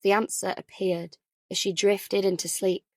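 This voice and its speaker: A woman's voice: mid-range voice, little pitch movement, normal speed, no echo. A woman reading scripted thriller narration as an audiobook narrator.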